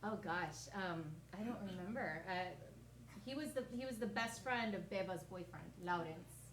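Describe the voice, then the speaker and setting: A woman's voice: mid-range voice, expressive, moderate pace, a bit bright. A woman from Colombia, an audience volunteer, giving easygoing short answers and chuckling during an onstage interview. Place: live comedy stage. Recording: roomy, distant, noisy live venue.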